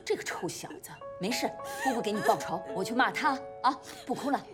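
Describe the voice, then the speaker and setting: Actress as an animated, protective middle-aged aunt. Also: female voice, on the high side, fairly quick, over music, close to an everyday voice. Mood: soothing. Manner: emphatic, repeats herself. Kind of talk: TV drama acting.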